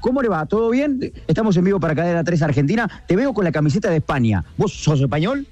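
A man's voice: sits high, very animated, fast talker, a little mellow. A man with a Rioplatense accent reporting live from inside a shop, talking in a conversational way and asking passers-by questions. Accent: Rioplatense Spanish. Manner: amazed, conversational. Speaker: field reporter. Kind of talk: live report and street interviews.

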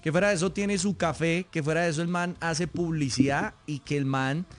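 A Colombian man with a fairly high voice works as an interviewer. He chats casually, full of local slang and exclamations. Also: fairly even intonation, a little fast. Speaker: interviewer, host. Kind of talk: casual chat.

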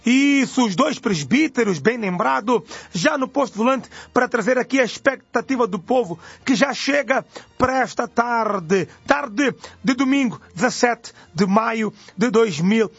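Brazilian male religious radio host, very high voice, gravelly creak, dry dull audio. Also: animated, unhurried, fairly bright tone, no echo. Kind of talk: live religious radio presenting.

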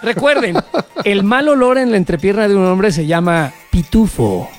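A man with a Mexican accent hosting a radio show, bantering loosely with slang and quick laughs. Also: high voice, lively intonation, faint music behind. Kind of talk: casual on-air radio banter. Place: radio studio.

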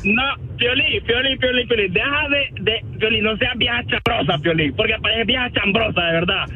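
A man's voice: sits high, normal speed, breathy edge, faint music behind. A man from Honduras, calling in to a radio show and defending himself. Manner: defensive, insulting back. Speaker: caller. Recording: tinny phone line.

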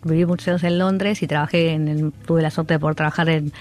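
An Argentine woman with a Rioplatense accent talks in a low voice, pausing often, restarting her sentences and leaning on fillers. A faint music bed sits under her. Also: steady tone, darkish tone. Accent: Rioplatense Spanish. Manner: hesitant, many fillers and restarts. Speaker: art curator guest. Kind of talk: casual interview conversation.

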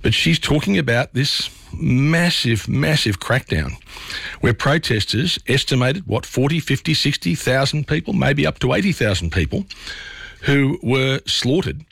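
Australian radio host, slightly scratchy voice. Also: male voice, mid-range voice, expressive, measured pace, vocal fry, fairly bright tone, breathy edge, no room sound. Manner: conversational, walks listeners through the news. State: slightly scratchy voice from a chest cold. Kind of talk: evening talk radio commentary. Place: radio studio.

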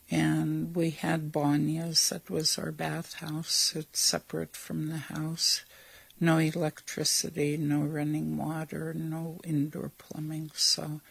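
Older woman from alaska looking back on village life, gravelly and husky, takes her time. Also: low-pitched, no echo, rough recording. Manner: slow, reflective storytelling. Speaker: interviewee. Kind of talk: documentary interview, reminiscing.

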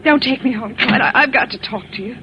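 A woman's voice: on the high side, quick pace, close to an everyday voice. A woman playing a desperate, clinging young sweetheart in a 1940s radio melodrama, insistent and overwrought. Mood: pleading, insistent. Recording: noisy, muffled old radio recording.

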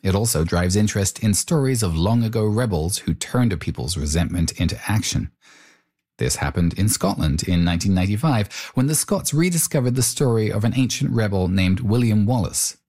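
A man hosting and narrating a documentary-style interview, asking curious questions and reading measured narration. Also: lowish voice, lively intonation, a little mellow. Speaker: host and narrator.

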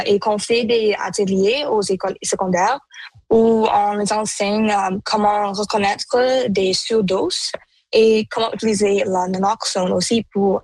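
A woman's voice: mid-range voice, a little mellow, no echo, low-quality recording. A young woman student whose first language is Punjabi, answering interview questions with many hesitations. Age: young adult. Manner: halting, many fillers and restarts. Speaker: student guest.

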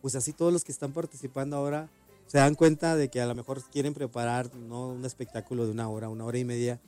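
A man's voice: mid-range voice, steady tone, fast talker, warm tone, soft background music. A man with a northern Mexican accent hosting a casual, conversational interview as the interviewer. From Ciudad Juárez, Mexico.

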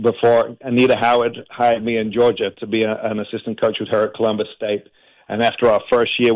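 Coach, flat even pitch, earnest guest on a radio call. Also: male voice, rough recording. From England. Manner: grateful, earnest, flowing storytelling. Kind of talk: radio interview answers.

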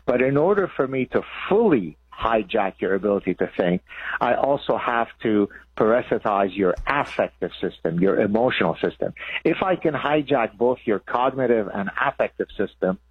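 A man's voice: lowish voice, expressive, moderate pace, husky, no echo. A man in his sixties from Lebanon, explaining things as an interview guest, over a narrow phone-like line. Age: 60s. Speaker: interview guest, professor and author.

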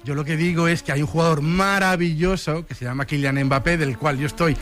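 A male radio panellist with an accent from Spain and a slightly high voice. He argues in florid, playfully grandiose sentences during casual studio debate. Soft music sits behind him. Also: a little fast. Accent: Spanish from Spain.

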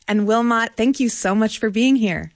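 A woman hosting a public radio book show, reading the introduction and asking interview questions in a measured way. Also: fairly high pitch, no echo, studio quality. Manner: measured, clear read of sponsor credits.

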